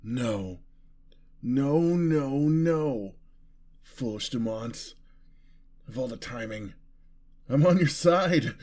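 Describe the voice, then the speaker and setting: A man performs a bloodthirsty male vampire for a fantasy audio roleplay. He is low-voiced and unhurried, menacing yet seductive, drawing out each threat with theatrical relish. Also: darkish tone. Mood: enraged, threatening. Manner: slow, savouring, breathy menace.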